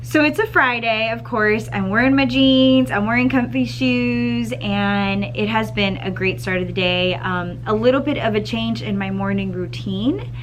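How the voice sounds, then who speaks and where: A second grade teacher with a slightly scratchy voice, chatting upbeat to camera in a school classroom, clearing her throat now and then. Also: a little high, measured pace. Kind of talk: casual vlog chat to camera.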